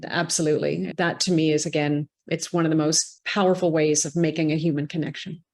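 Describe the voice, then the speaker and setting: A Canadian woman speaking as a guest on an interview podcast, talking in a measured, thoughtful way and stressing key words. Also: low-pitched, little pitch movement, a little mellow. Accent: Canadian English.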